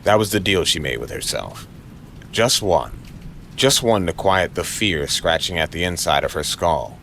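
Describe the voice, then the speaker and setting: Low voiced guy doing moody noir narration, short dramatic phrases, rain noise behind him. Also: animated, unhurried, creaky, a bit bright. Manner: brooding, dramatic, clipped phrases.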